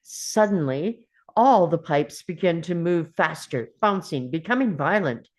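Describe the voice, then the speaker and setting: A woman's voice: on the low side, lively intonation, a little slow, a little mellow. A woman narrating a screenplay's scene directions at a table read.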